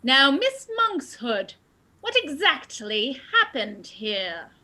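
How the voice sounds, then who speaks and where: A woman's voice: sits high, sing-song, slow, pushed and bright, full character voice. A woman playing a commanding, insistent police inspector in a comic radio play. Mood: stern. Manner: authoritative, insistent. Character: brisk, commanding police inspector questioning suspects.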